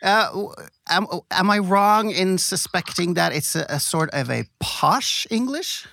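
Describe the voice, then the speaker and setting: A man hosting a podcast interview, measured in tone and a little halting when asking questions. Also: high-pitched, lively intonation, gravelly, sharp tone, no echo, studio quality. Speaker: podcast host.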